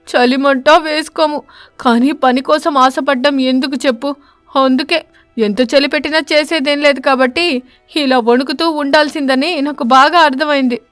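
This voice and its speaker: A woman voicing an exasperated, henpecked husband crow in an animated story, grumbling with sarcasm. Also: sits high, dry recording. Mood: resigned, grumbling.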